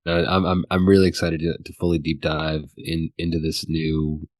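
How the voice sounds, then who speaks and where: A deep-voiced man co-hosts a podcast. His talk is casual and halting, with repeated words, and the recording is very dry. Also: completely flat delivery, no echo. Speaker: podcast co-host. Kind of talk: casual discussion.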